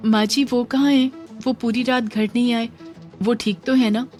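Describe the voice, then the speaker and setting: A woman's voice: on the high side, fairly even intonation, moderate pace, a little mellow, soft background music, pitched above the performer's own voice, lightly acted. A woman voicing a meek, devoted young blind bride in a dramatized moral story, speaking with deferential pleading. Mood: anxious, pleading.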